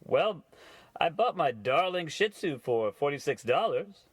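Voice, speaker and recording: A man playing a gullible, cheerful and polite gentleman customer in a parody of old radio comedy. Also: a little high, very animated, measured pace, slightly rough, no echo, heavily acted. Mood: eager. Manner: chirpy, naive, old-timey politeness.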